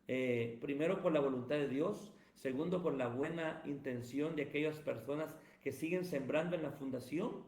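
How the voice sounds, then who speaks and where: A man talks in a high, ringing voice. He answers like a pastor in the pulpit, building emphatic runs of phrases. The room around him is roomy and echoey. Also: a little mellow. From Guatemala. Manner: emphatic, sermon-like cadence. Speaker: pastor and evangelist, guest. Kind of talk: preaching-style interview answers. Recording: roomy echo.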